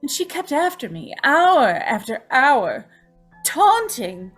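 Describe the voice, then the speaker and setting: Casting: actress for gentle young woman in torment, high and very animated, frightened, pleading, old-time radio melodrama. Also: slow pace, faint music behind, exaggerated voice. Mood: fearful, weary. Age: young adult. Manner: pleading, tremulous, dramatic.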